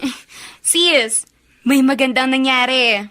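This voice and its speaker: High, bright, giggly young Filipina student. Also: female voice, slow pace, full character voice. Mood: curious, bright. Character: giggly, smitten, self-assured young female student. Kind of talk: Filipino radio drama acting.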